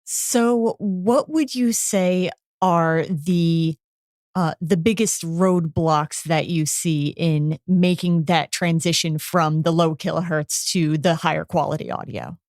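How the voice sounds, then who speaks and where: A woman with a notably low voice hosts an interview. She asks her questions conversationally, recorded dry with hardly any room sound. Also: steady tone, slow, a little mellow, no room sound.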